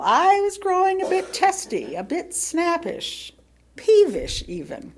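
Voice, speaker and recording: American lady reading a sermon like a story, takes her time, bit of creak, really leans on words. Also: mid-range voice. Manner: expressive, theatrical emphasis with pauses. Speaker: lay reader delivering a written sermon. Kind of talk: sermon read aloud as expressive personal storytelling.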